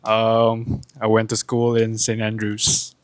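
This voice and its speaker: A man's voice: on the low side, monotone, takes it slowly, no room sound. A young man from Brunei, introducing himself plainly on a podcast.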